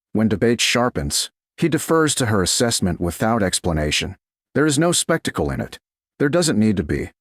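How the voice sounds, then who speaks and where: Low-voiced, measured male narrator. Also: no room sound, clean studio sound. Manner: slow, measured, unhurried. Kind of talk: scripted romance narration.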